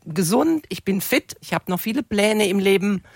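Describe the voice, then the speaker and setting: A woman of about sixty-one answers interview questions in a reflective, conversational way. Her voice has a noticeable creak at a middling pitch. The recording is dry and free of room sound. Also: animated, normal speed, no room sound. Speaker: interview guest, retirement coach.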